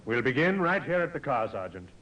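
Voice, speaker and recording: An actor playing a methodical British police inspector, measured and dryly authoritative, in a 1950s radio drama. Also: male voice, mid-range voice, sing-song, fairly bright tone. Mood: deliberate, analytical. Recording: muffled, hissy 1950s radio recording.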